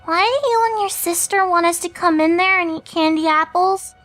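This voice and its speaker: A woman voicing young fillies, girlish and bright, nervous and wary. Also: very high voice, moderate pace, soft background music, voice pushed up.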